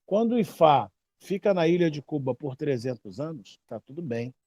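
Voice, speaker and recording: A Brazilian man in his fifties talks at length as a teacher, his voice warm and mellow yet pitched a little high. He stresses points by repeating himself and tells stories with lively emphasis. The sound is dry and close, with no room echo. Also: normal speed. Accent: Brazilian Portuguese. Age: 50s. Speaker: babalawo teacher. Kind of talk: casual explanatory talk.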